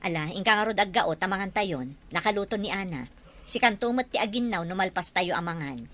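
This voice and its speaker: Woman acting a sharp-voiced scolding Ilocano mother. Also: mid-range voice, animated, fairly quick, lightly acted. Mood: brisk, admonishing. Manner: chiding, forceful. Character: stern, scolding middle-aged mother. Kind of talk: Ilocano radio drama acting.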